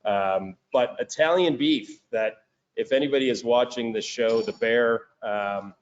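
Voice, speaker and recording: A man's voice: mid-range voice, unhurried, dull audio. A man with a thick Chicago accent, a business owner talking casually as the guest on a video call, with frequent fillers and restarts. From Chicago, United States. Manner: relaxed, many fillers and restarts. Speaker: guest, crematory and metal recycling business owner.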